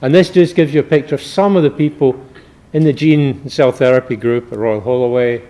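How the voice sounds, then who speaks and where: A man, a medical researcher, giving a public academic lecture in a measured, informal explaining manner. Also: mid-range voice, steady tone, dark tone.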